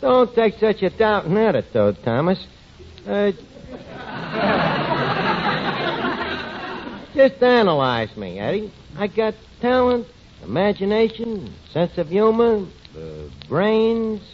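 Cocky tavern manager, high swooping voice. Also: male voice, big pitch swings, a little slow, exaggerated voice. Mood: boastful. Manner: malapropisms, cocky self-important patter. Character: tavern manager, scheming, boastful, dim wisecracking man. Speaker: comedy lead. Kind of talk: 1940s radio sitcom acting. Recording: muffled, noisy 1940s radio broadcast, studio audience.

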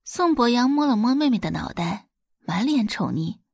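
Expressive woman narrating an audio drama. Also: a little high, moderate pace, vocal fry, husky, no room sound, clean studio sound. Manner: expressive storytelling.

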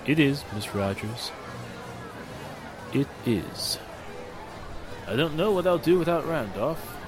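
A man's voice: on the high side, expressive. An actor playing a grave, formal older businessman in a mournful 1940s radio drama style. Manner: measured, formal, deliberate. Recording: music and crowd murmur behind the voice.

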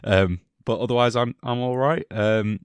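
A man's voice: medium pitch, steady tone, normal speed, clean tone, dry recording. A man hosting a football podcast, chatting in a rambling, jokey way, with a cough this week. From England. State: has a cough. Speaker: podcast host. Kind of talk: casual football podcast chat.

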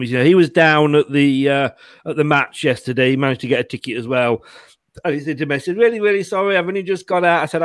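A man's voice: on the high side, no echo. Chatty man hosting, dry sound. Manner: chatty, laughs easily, many restarts. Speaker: host. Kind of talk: casual chat.

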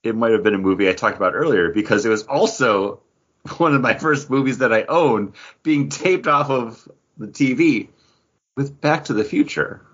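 A man's voice: mid-range voice, animated, normal speed, fairly bright tone, lo-fi sound. A man co-hosting a podcast, chatting casually with a geeky fan's enthusiasm. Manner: enthusiastic geeky fan talk. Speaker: podcast co-host. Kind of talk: casual pop-culture chat.

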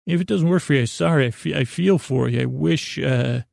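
A middle-aged man with a creaky, gravelly voice, hosting a sleep podcast in a goofy, meandering, halting monologue that often repeats itself. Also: fairly high pitch, a little mellow, no room sound, studio quality. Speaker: podcast host. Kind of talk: rambling bedtime monologue.

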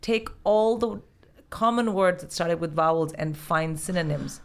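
A woman's voice: on the low side, a little slow. A woman from India, a podcast guest, telling candid stories in casual chat with frequent restarts.

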